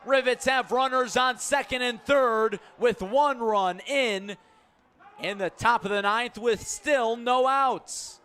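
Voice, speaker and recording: A man's voice: very high-pitched, slow, bright, forceful tone, clear, resonant tone. A man doing live baseball play-by-play on the radio in clipped phrases, stretching key words. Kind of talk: live sports commentary.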